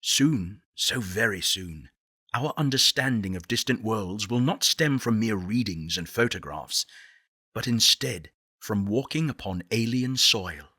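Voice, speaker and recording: A man playing an earnest, eloquent space-program director in a video game, speaking in measured, deliberate oratory. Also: fairly low pitch, expressive, bright, forceful tone, slightly rough, dry recording, studio quality, exaggerated voice. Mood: reverent, visionary. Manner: articulate, deliberate, solemn.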